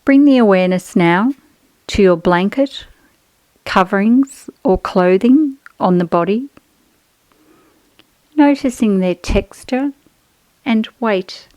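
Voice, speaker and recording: A woman guiding a relaxation meditation in a soothing, measured, instructional manner with pauses between phrases. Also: medium pitch, lively intonation, darkish tone, no room sound, rough recording. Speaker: yoga nidra guide.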